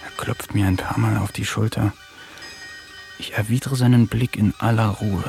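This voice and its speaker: A man's voice: fairly low pitch, steady tone, normal speed, vocal fry, a little mellow, soft background music. An actor playing an eccentric young poet who narrates his diary in a dry, ironic manner in a radio play. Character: eccentric young male poet, wants to talk with animals.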